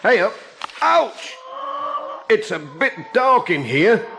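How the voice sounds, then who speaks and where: A man's voice: high-pitched, sing-song, slow, gravelly, background noise, pitched above the performer's own voice, full character voice. A man voicing a cheerful, friendly village postman in a storybook reading, with hearty, jolly exclamations.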